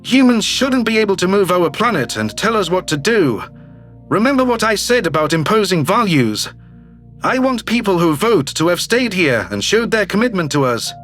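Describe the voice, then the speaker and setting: Man putting on a glib, high-pitched alien politician. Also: sing-song, moderate pace, a bit bright, soft background music. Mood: breezy, confident. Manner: glib, self-assured, casually persuasive. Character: brash, slick alien politician, newly elected male governor. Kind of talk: audio drama character reading.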